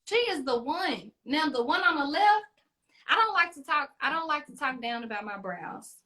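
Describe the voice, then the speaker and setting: A woman's voice: sits high, lively intonation, moderate pace, sharp tone. A woman hosting a casual beauty chat, talking in a playful, encouraging and chatty way. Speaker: beauty livestream host.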